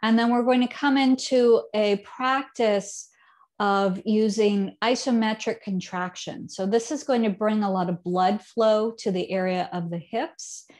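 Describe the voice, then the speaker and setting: A woman in her thirties leads a seated yoga practice at a middle pitch, her cues calm and unhurried. Her phrases often drift softly down at the ends. She sounds a little distant, as if caught by a camera across a living room. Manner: calm, unhurried cueing with soft trailing endings. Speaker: yoga teacher. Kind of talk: guided yoga instruction.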